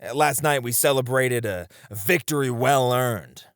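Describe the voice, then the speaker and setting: A man's voice: medium pitch, normal speed, no room sound, studio quality, lightly acted. A man playing a seasoned knight, a wistful, teasing sparring comrade in a romantic roleplay, with reflective, hesitant phrasing. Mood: earnest, gentle. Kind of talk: romantic ASMR roleplay acting.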